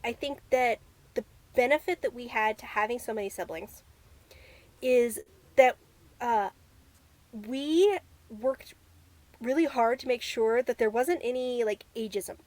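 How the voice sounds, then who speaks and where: A woman's voice: fairly high pitch, measured pace, pauses often, a little mellow, no echo. A young woman who was homeschooled, a guest in an interview, talking about growing up in a big family in an earnest, reflective way. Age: young adult. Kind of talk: conversational interview.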